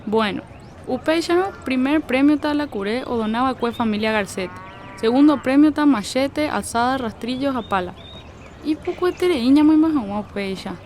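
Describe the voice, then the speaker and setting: A brisk village fair organizer. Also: female voice, sits high, lively intonation, darkish tone, slight background noise. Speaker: meeting organizer. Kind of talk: radio drama acting.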